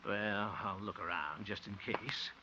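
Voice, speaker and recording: An actor playing a flustered, blustering middle-aged man in a 1950s radio comedy, excitable and easily exasperated. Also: on the low side, measured pace, lightly acted. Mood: muttering. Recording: noisy, dull restored 1950 radio recording.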